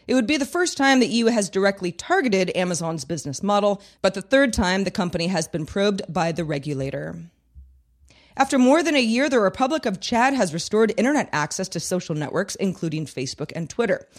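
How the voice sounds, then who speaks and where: A woman's voice: medium pitch, animated, brisk. Woman reading scripted news headlines. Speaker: news podcast host.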